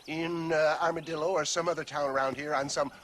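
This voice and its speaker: A man's voice: fairly high pitch, steady tone, a little fast, fairly bright tone, a little hiss or hum, lightly acted. An actor playing a florid, genteel scheming salesman in a western game, pompous and wordy. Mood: smooth, theatrical. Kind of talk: animated western game acting.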